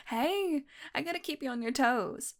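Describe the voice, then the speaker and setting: A sardonic, flirty vampire woman, played by a voice actress for an intimate audio roleplay. Her voice is fairly high and she takes her time, teasing like a casual predator. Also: full character voice.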